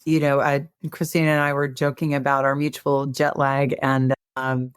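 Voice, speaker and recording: A woman with a slightly raspy voice from a cold, chatting as a podcast guest in a friendly way. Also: very deep voice, level tone, normal speed, dry recording, clean studio sound. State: getting over a cold. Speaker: podcast guest, clinical hypnotist. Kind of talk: casual interview chat.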